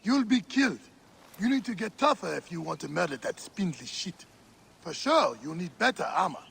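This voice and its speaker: An actor playing a friendly, frank village elder in a video game who teases and warns bluntly. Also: male voice, high-pitched, sing-song, normal speed, vocal fry, fairly bright tone, slight background noise, exaggerated voice.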